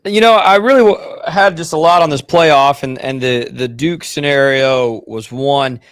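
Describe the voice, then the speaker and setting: A man co-hosting a sports talk show, chatting casually with quick asides. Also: medium pitch, a bit bright, dry recording. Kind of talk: casual radio sports chat.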